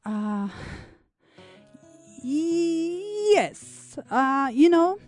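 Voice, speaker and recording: A woman in her thirties from Poland, an English speaker, talking reflectively as an interview guest, with many pauses and fillers. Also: very high voice, big pitch swings, darkish tone, no echo, soft background music. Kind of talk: conversational interview.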